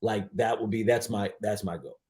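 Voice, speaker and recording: A man in his forties talking casually as a podcast guest, emphatic, with halting false starts and some swearing. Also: lowish voice, steady tone, a little mellow. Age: 40s. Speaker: podcast guest, DJ and aspiring filmmaker. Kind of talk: casual interview chat.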